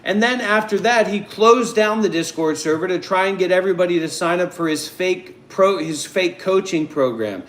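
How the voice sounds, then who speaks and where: A man hosting a group voice call, speaking calmly and deliberately, often repeating himself to keep order. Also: sits high, echoey room. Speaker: host of a voice chat session.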